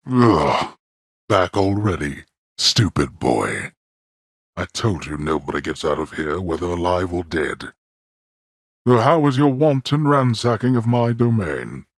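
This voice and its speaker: A haughty underworld god-king, extremely deep, husky, slow deliberate delivery, game voice. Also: no room sound, clean studio sound, heavily acted. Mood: scornful. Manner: haughty, scornful, commanding. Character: stern, contemptuous male god-king of the underworld, harsh father. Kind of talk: video game voice acting.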